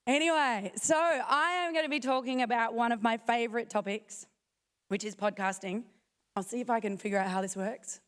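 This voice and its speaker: A woman with a croaky, failing voice giving a chatty, self-joking conference talk. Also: on the high side, fairly bright tone. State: croaky, losing her voice. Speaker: conference speaker. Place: conference hall.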